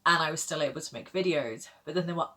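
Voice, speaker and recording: A woman's voice: sits low, fast, pushed and bright. A woman, chatting casually to camera as a book vlogger, rambling with lots of fillers and little laughs. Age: 30s.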